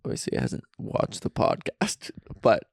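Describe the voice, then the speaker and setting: A man co-hosting a casual podcast from a friend's basement, bantering and joking quickly. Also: dry recording, clean studio sound. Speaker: podcast co-host. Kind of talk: casual chat.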